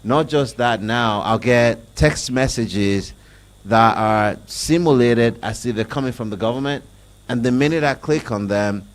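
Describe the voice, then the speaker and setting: A middle-aged man with a Nigerian accent speaking as a panelist at a conference, measured, repeating words as he builds a point, into a handheld mic. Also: mid-range voice. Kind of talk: panel discussion answer. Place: conference hall on stage.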